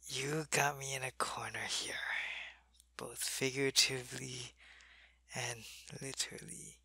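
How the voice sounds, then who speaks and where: A feverish alien changeling soldier, voiced by a man for an ASMR roleplay, loyal to his queen and weak from illness. He talks slowly, in a soft, gravelly, husky half-whisper, with a bright, sharp edge to the tone, and the recording is close and dry. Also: mid-range voice, flat delivery, dry recording, exaggerated voice. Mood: weary, ill. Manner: soft, weary, often whispering. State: sick and weak, in pain.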